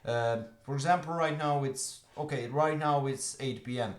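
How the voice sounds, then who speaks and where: A man's voice: medium pitch, normal speed. A young man from Croatia with a Croatian accent, giving an earnest motivational talk to camera with many fillers. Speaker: online business coach. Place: room at home, evening. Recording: echoey room.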